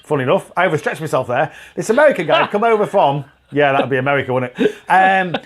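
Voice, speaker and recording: Chatty man telling stories, higher voice. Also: lively intonation, fast. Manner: chatty storyteller, laughs often. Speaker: podcast co-host. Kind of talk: casual banter.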